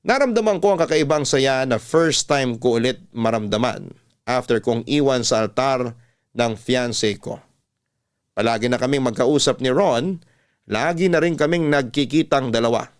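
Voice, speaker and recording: Smooth, clear male radio storyteller. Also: medium pitch, moderate pace. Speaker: storyteller host reading a listener's letter. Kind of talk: scripted dramatic radio narration.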